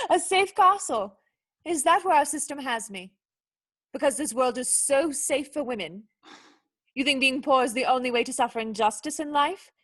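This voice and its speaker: An actress playing a proud young noblewoman in a radio play, sharp-tongued, wounded and indignant, with cutting, articulate speech. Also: high-pitched, animated, moderate pace. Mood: scornful.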